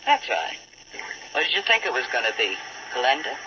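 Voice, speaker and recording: A woman's voice: a bass voice, sing-song, normal speed. A woman playing a tough, cynical riverboat skipper in a 1940s radio drama, blunt and slangy. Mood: shrewd, needling. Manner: hard-boiled, slangy, blunt. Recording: dull, noisy old 1940s radio recording.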